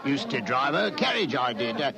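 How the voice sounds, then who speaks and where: An actor playing a chuckling, chatty Cockney pub landlord in an old-time radio drama, with a jovial, folksy manner. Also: male voice, mid-range voice, big pitch swings, normal speed, natural voice. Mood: chatty, amused. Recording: vintage radio, music and crowd behind.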